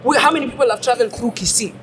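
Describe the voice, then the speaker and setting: A woman co-hosting a casual chat, lively and joking, breaking into playful accent impressions. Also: fairly high pitch, rapid-fire, crowd noise. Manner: lively, jokey, mimics accents. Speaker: podcast co-host.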